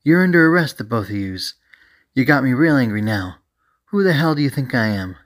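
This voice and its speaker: A brash policewoman in an audiobook, acted out by a man. The voice is pushed up high and loud. She sounds indignant and bossy, with a short temper. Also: moderate pace, close to an everyday voice. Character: brash, hot-tempered female police deputy squad leader.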